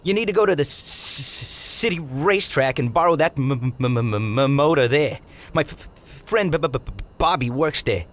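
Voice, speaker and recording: Game casting: meek gang mechanic, lightish pitch, severe halting stutter. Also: male voice, expressive, a bit bright. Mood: hesitant, helpful. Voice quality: heavy stutter. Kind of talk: video game cutscene dialogue.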